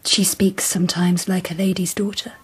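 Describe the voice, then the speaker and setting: An actress playing a dignified, perceptive empress in a radio drama, breathy, poised and quietly wary. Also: female voice, on the low side, little pitch movement. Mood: reflective, shrewd. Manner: poised, formal, deliberate.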